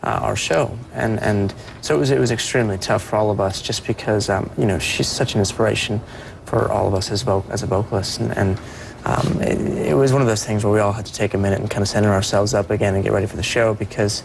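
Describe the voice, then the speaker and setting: A young man speaking as a performer guest on a TV interview, soft-voiced and earnest, often restarting his sentences. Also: on the low side, steady tone, quick pace, creaky, noisy background. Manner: earnest, with many restarts and repeated words. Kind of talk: TV morning show interview.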